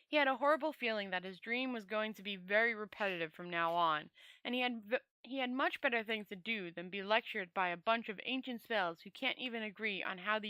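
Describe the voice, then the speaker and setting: A woman's voice: medium pitch, pushed and bright, no room sound. A woman storyteller reading a fantasy novel aloud expressively, often stumbling over words and rereading phrases.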